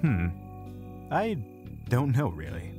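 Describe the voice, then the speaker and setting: A man playing an aloof, philosophical mage in a fantasy audio drama, speaking in a deliberate, pompous, detached way. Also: mid-range voice, wildly swooping pitch, over music. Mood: smug, musing. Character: Phineas, aloof, philosophical male mage with dark ambitions.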